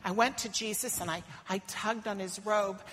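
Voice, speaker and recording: A woman's voice: medium pitch, steady tone, moderate pace, fairly bright tone, long reverb tail, a little hiss or hum, lightly acted. A woman acting out a desperate foreign mother who pleads for her sick daughter, telling her story earnestly in the first person. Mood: defiant. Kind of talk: dramatic first-person monologue in church.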